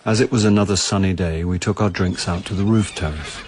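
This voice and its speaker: An actor playing a wry middle-aged amateur sleuth with an English accent, dry and understated in a radio drama. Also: male voice, low-pitched, a little fast, background noise, natural voice. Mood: reflective. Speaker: narrator and lead actor. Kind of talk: radio drama dialogue and first-person narration.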